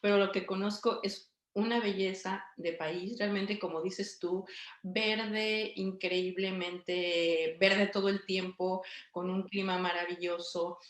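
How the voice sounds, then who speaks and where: A woman's voice: mid-range voice, steady tone, takes it slowly, echoey room. A middle-aged woman hosting an interview, introducing her guest and asking questions with many hesitant fillers. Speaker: host and interviewer.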